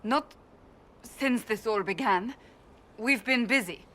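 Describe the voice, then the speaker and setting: A woman's voice: on the high side, takes it slowly, sharp tone, slight background noise. An actress voicing a stern woman warrior in a video game, flustered and defensive. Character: stern woman warrior, secretly a romance reader.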